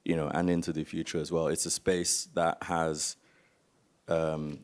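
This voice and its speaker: A man's voice: rumbling low, flat delivery, a little slow. A man from Nigeria giving an informal panel talk as a museum founder, telling stories and asking rhetorical questions. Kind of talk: informal spoken presentation on a conference panel.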